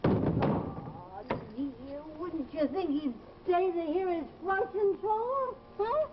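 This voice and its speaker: A woman's voice: very high voice, animated, extremely slow, heavily acted. An actress playing an eccentric older landlady, rambling, hesitant and fussy, in early television drama style. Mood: puzzled, muttering. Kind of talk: 1950s live television drama acting. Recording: dull, noisy old television soundtrack.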